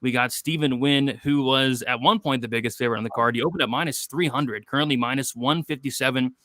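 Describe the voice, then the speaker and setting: A man's voice: mid-range voice, fast talker, bright, forceful tone, dry recording. A man in his twenties hosting a casual betting livestream, reading chat questions and joking loosely. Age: 20s. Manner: loose, jokey, reads chat questions aloud. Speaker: host of a betting show.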